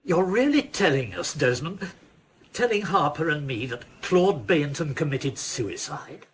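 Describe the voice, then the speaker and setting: Slow, swooping, exasperated police chief. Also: male voice, fairly high pitch, animated, a little hiss or hum. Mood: incredulous, demanding. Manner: incredulous, deliberate, demanding. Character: sceptical, exasperated male police chief, demanding. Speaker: actor in a crime radio drama. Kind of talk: radio drama acting.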